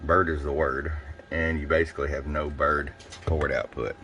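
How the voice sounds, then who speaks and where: A man giving a casual, step-by-step hands-on product review in a plain-spoken, folksy way, pausing between short phrases. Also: extremely low pitch, flat delivery, pushed and bright, a little hiss or hum. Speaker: radio seller demonstrating a product.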